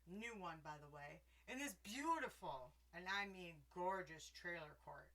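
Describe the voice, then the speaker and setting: A middle-aged woman hosts a loose, wine-fueled chat from her bed. She slurs a little and breaks into loud laughter. Her voice is forceful and bright. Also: on the low side, expressive, measured pace. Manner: loud laughing, slightly slurred. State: a little drunk. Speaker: podcast host. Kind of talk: casual tipsy chat. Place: bedroom in a trailer home.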